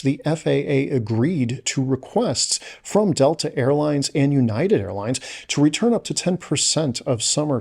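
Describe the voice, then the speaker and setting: A man hosting a travel news show, reading a script in a measured, formal way. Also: medium pitch, creaky, a little mellow, husky.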